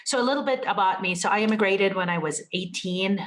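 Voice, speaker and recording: A woman from Lebanon, a lawyer, introducing herself on an online panel in a friendly, measured way. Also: mid-range voice, a bit bright.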